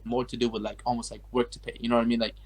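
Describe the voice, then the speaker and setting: A man's voice: medium pitch, little pitch movement, racing, creaky, darkish tone, breathy edge. A young man from Afghanistan, a guest artist chatting casually and rambling, with lots of filler words as he tells his story. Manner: rambling, heavy use of like and you know. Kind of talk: casual interview chat.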